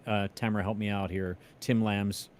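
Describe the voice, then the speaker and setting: A man hosting a casual chat, telling anecdotes in a halting way as he searches for words. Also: lowish voice, little pitch movement, darkish tone, clean tone. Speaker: show host and composer.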